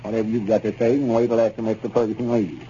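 An actor playing a self-important, impatient country storekeeper with a rural Arkansas accent and a pompous, folksy way of scolding, in a 1930s radio comedy. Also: male voice, lowish voice, flat delivery, rapid-fire, dark tone, natural voice. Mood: exasperated, scolding. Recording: muffled, noisy 1930s radio recording.

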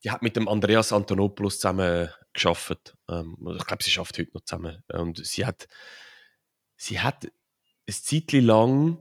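Swiss German man, low voice, relaxed rambling guest explaining at length with lots of fillers. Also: normal speed. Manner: rambling, many fillers and tag questions. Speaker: podcast guest, author and crypto consultant. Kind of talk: casual conversational interview.

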